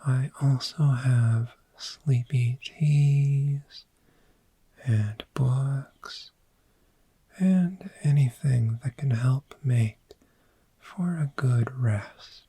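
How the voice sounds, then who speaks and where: A man voicing a friendly, gentle dragon in a soft-spoken, soothing ASMR roleplay, with a breathy whisper and long pauses. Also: mid-range voice, dry recording, heavily acted. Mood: cozy, welcoming. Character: friendly, gentle cave dragon fond of sleep.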